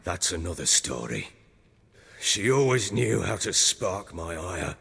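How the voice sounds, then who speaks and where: An actor playing a middle-aged lord in a video game, a proud, hard-drinking, volatile man with a rough voice and a coarse, brooding, theatrical manner. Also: deep voice, very animated, a little slow, fairly bright tone, long reverb tail, exaggerated voice. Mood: defensive. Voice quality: gravelly, rough.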